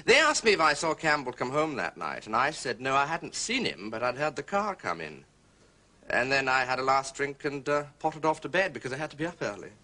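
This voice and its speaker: Bright, forthright gentleman witness. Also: mid-range voice, big pitch swings, brisk. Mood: uneasy. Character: blunt, uneasy gentleman witness, mildly scornful. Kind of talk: period detective radio drama acting.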